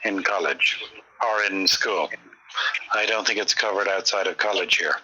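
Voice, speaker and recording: A man in his forties from Ireland with an Irish accent, chatting casually and patiently slowing down to explain grammar. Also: deep voice, bright, forceful tone, slightly rough, lo-fi sound. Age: 40s. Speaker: conversation partner. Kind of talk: casual chat.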